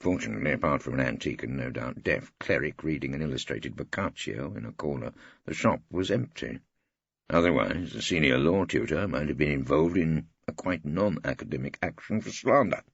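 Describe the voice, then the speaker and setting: A man with an English accent playing a wry, irreverent older barrister, gravelly and droll, narrating an audiobook. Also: rumbling low, expressive, a little fast, no room sound, dull audio, full character voice. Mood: dry, innocent. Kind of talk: first-person audiobook narration with dialogue.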